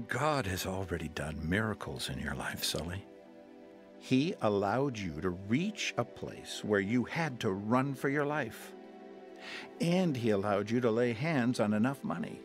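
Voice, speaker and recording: A calm, earnest mission counselor. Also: male voice, fairly low pitch, measured pace, faint music behind. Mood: gentle. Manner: calm, earnest, quotes scripture. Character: patient male mission counselor, earnest preacher. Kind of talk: radio drama acting.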